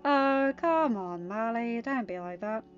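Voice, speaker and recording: A woman acts a cheeky teenage girl captain from a storybook, unrepentant and teasing. The voice sits high and sounds flippant, with slightly muffled audio. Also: animated, slow. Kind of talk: amateur story reading with dialogue. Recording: dull lo-fi audio, music behind.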